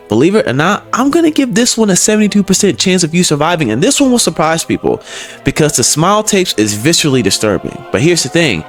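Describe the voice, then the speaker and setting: A man hosting a scripted explainer, talking directly and conversationally to the viewer. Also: on the low side. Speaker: video essay host. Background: background music.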